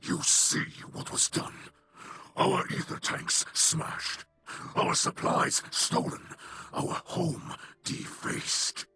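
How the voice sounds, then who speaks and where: Slow, rasping, weary alien leader. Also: male voice, bright, forceful tone. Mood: aggrieved, pleading. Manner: slow, grave, measured. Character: solemn, weary male alien leader pleading for his people. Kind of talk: dramatic game character dialogue.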